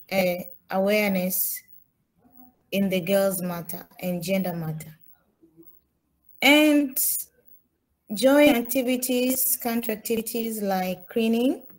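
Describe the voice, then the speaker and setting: Slow, halting young Tanzanian woman presenting. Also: mid-range voice, a little mellow, rough recording. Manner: halting, many fillers and restarts. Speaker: student presenter. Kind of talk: slide presentation.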